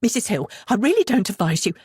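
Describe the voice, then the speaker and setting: Worried female teacher, played by a woman narrator, earnest, polite, insistent, raised pitch, audiobook character. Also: sing-song, fast talker, darkish tone, husky, no echo, clean studio sound. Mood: flustered, concerned.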